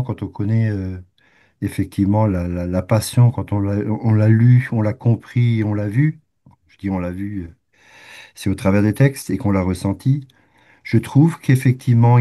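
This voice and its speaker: A man's voice: fairly low pitch, mellow. A man with a head cold, reading a passage and then reflecting on it hesitantly, with restarts, in a prayer group. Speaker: participant reader.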